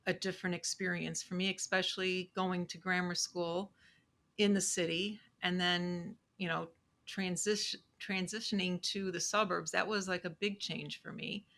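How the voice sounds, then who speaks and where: A woman in her sixties with a Chicago accent, speaking softly and in a measured way, with frequent pauses, as a podcast guest. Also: on the low side, flat delivery. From Chicago, USA. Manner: soft-spoken, measured, with many pauses and "um"s. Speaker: legal assistant and podcast guest. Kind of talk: casual interview chat.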